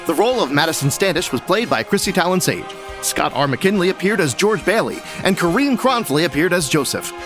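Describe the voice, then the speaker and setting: A man's voice: sits high, animated, fairly quick, few pauses, music in the background. A man working as an announcer, reading scripted show credits.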